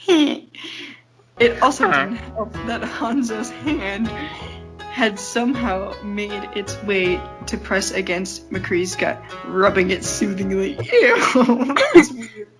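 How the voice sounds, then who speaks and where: A woman's voice: medium pitch, drawn-out words, rough recording. A woman reading a story aloud informally as a podcast host, giggly and breaking off into exasperated asides. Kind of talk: informal read-aloud narration with banter. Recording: guitar music behind the voice. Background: plucked guitar music.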